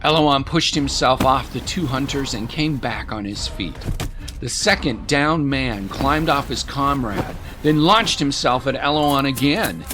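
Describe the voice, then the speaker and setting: A man delivering dramatic scripted narration for an audio drama in a measured, descriptive storytelling manner. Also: mid-range voice, animated. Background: music bed.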